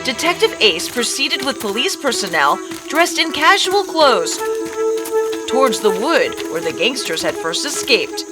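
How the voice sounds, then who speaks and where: A woman reading scripted narration for an animated story aimed at young listeners. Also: fairly high pitch, unhurried. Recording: background music under the voice.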